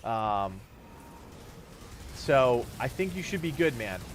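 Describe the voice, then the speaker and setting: A thirty-year-old man whose voice is going hoarse and stuffy, streaming live and thanking his viewers for donations in a grateful, rambling way. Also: medium pitch, big pitch swings, slow, slight background noise. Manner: grateful, rambling, laughs often.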